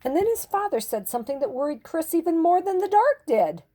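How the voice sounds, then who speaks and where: An older woman narrating a children's picture book expressively, with deliberate dramatic emphasis and pauses. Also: very high voice, big pitch swings, mellow. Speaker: storyteller reading to children.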